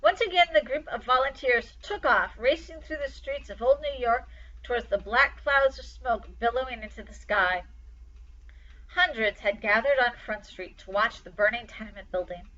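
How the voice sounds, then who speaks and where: Woman doing storytime, bright highish voice, dramatic reading, slightly muffled audio. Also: moderate pace. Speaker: storytime reader. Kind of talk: expressive children's story read aloud.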